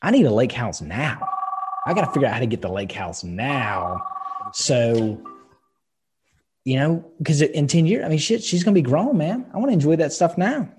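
A man's voice: a little high, big pitch swings, normal speed, background noise. A man in his thirties, co-hosting a podcast, giving emphatic, casual advice with some swearing. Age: 30s. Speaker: podcast co-host. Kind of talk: casual chat.

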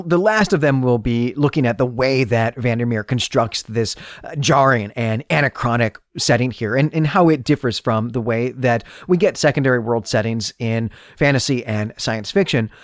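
Male podcast host, mid-pitched, very lively intonation, conversational explainer delivery, dry close sound. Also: no echo. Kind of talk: semi-scripted book discussion podcast.

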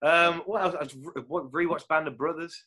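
A man's voice: fairly high pitch, steady tone, a little fast, pushed and bright, breathy edge. A young British man, an actor, chatting casually and rambling as he acts out little quotes with laughs. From United Kingdom. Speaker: actor guest. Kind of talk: casual chat.

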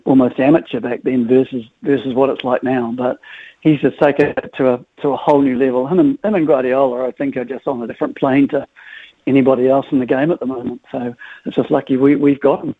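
A man in his fifties with a New Zealand accent, a football supporter talking enthusiastically in a radio phone interview, full of halting restarts. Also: mid-range voice, dry recording. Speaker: football supporters club guest. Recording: tinny phone line.

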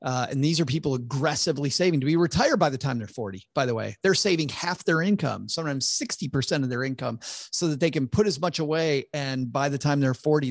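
A man's voice: mid-range voice, dry recording, clean studio sound. A man, a financial advisor guest on a podcast interview, explaining earnestly with frequent stammered restarts.

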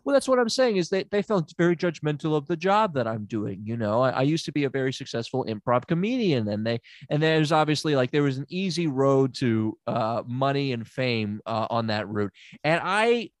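A man's voice: medium pitch, sing-song, moderate pace, no echo, studio quality, lightly acted. A man playing a smug, unqualified therapist who rambles and stammers in an improvised comedy sketch. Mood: rambling. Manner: rambling, stammering, self-assured.

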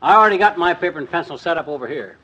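An actor playing a boastful, scheming middle-aged husband in a vintage radio comedy, folksy and needling. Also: male voice, fairly high pitch, expressive, very fast, bright, forceful tone, highs cut off. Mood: challenging. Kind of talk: 1950s radio comedy acting.

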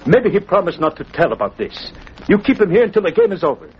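An actor playing a frightened, pleading Italian-accented accomplice with a conscience, in broken English, in a 1940s radio drama. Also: male voice, high voice, sing-song, fast talker, husky, exaggerated voice. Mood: anxious pleading. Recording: muffled, noisy old radio recording.

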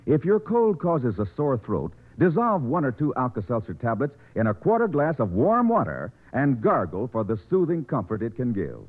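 A thin, narrow-band old radio recording of an American man. He reads a scripted 1940s commercial as an announcer, at a medium pitch with very animated intonation. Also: breathy edge.

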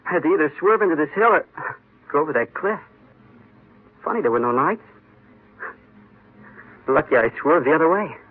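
Regular american young guy in old radio play, careful type, slightly rough high voice, thin sound. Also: animated, moderate pace, long pauses. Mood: cautious. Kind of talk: old-time radio drama acting. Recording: tinny old radio recording.

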